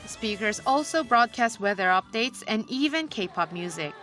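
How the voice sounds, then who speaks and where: A woman's voice: on the high side, animated, moderate pace, loud music behind the voice. A woman reporter reading a scripted news feature narration.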